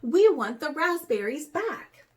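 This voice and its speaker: A woman voicing an emotional, wrongly accused female raven from a storybook, with emphatic, drawn-out protests. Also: sits high, big pitch swings, heavily acted. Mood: determined.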